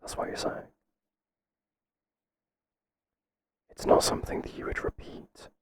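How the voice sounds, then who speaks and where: Man acting a whispering, flustered stern boss. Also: lightly acted. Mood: probing, hesitant. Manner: halting, hesitant, breathy whispering. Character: stern, flustered male boss resisting an employee's advances. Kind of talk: whispered ASMR roleplay. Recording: clearly noisy, hissy background.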